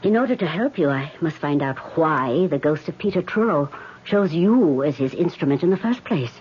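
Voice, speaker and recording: An actress playing a solemn woman medium in a radio drama, speaking deliberately in a hushed, portentous way. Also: deep voice, lively intonation. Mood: grave, careful. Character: solemn woman spirit medium holding seances. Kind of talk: 1970s radio drama acting. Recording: dull, hissy old radio recording.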